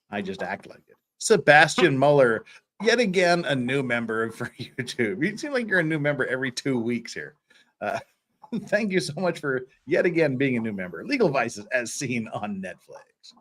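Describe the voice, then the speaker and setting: A man's voice: mid-range voice, big pitch swings, normal speed, a bit bright. A man hosting a casual livestream, joking with viewers and playfully teasing them. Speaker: livestream host. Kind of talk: casual livestream chat, thanking viewers and reading comments.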